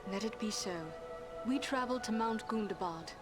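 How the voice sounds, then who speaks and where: A woman's voice: on the high side, moderate pace, over music, close to an everyday voice. A woman playing an earnest, resolute healer and adventurer in a fantasy video game, with poised, formal delivery. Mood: grave resolve.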